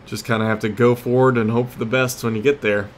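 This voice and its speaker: A man's voice: medium pitch, little pitch movement, quick pace, a little hiss or hum. A man giving casual, rambling gameplay commentary as host of a gaming series, often losing his train of thought.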